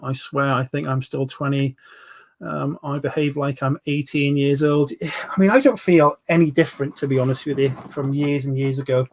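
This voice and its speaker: A man in his forties with a London accent, hosting a casual live stream, chatting and reading out viewer comments with lots of ums. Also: fairly high pitch. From England. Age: 40s. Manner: chatty, rambling, full of ums, banters with viewers. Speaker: livestream host reviewing vape juices.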